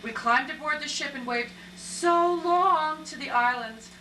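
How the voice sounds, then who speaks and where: A woman playing a romantic, excitable young woman in an amateur stage play. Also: sits high, a little slow. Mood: dreamy, romantic. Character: romantic, excitable young woman, Eleanor, reading her column aloud. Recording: echoey hall, distant mic, faint noise.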